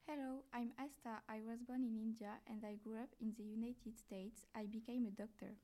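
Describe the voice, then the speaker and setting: A woman guest on a podcast, answering questions in a careful, slightly halting way. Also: a little high, steady tone, dark tone.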